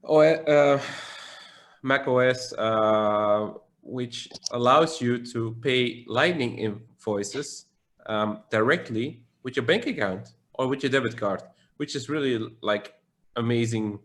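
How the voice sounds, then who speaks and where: A man's voice: medium pitch, takes it slowly. A man from Belgium with a Belgian accent, co-hosting a casual live talk show and reading out questions from the chat. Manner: relaxed, reads chat questions aloud. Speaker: podcast co-host.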